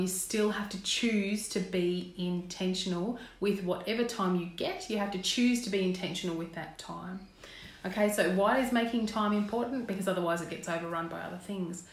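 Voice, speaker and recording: A woman in her thirties with an Australian accent and a slightly croaky voice, a parenting coach talking earnestly to viewers in a casual live stream, asking them questions. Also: mid-range voice. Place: indoors at home, small room. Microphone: phone camera, close framing. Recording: roomy echo in a home room.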